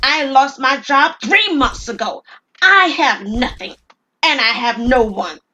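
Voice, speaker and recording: A woman voicing an unstable, desperate robber in his forties, with forceful, bitter delivery in an audio drama. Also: sits high, animated, unhurried, a little hiss or hum, pitched above the performer's own voice, heavily acted. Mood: bitter despair.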